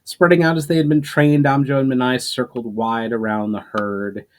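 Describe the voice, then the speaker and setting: A man's voice: mid-range voice, steady tone, moderate pace. Man giving a dramatic story reading. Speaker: author reading their own story aloud.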